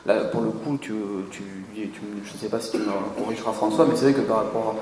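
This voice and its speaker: A man answering questions at a conference, often pausing to search for his words. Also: on the low side, fairly even intonation, a little mellow. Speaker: conference speaker. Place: conference hall. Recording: echoey hall with faint room noise.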